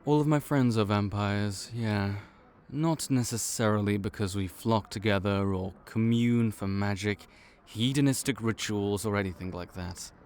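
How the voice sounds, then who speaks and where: A man playing a wry, low-voiced vampire clerk. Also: unhurried, few pauses, darkish tone, smooth, lightly acted. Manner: matter-of-fact, wry, bureaucratic. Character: male vampire intake officer, dry, patient. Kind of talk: audio roleplay.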